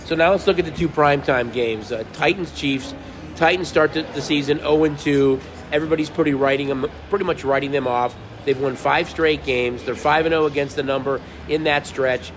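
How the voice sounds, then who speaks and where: A man's voice: mid-range voice, noisy background. A man co-hosting a sports betting podcast who is losing his voice, leading segments and reading out betting numbers. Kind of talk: casual sports betting talk.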